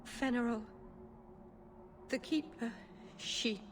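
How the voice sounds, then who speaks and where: Anguished, high-voiced young elf mage. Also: female voice, drawn-out words. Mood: grieving, defensive. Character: young female elven blood mage, earnest, anguished. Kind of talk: video game cutscene acting. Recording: long hall reverb, faint noise.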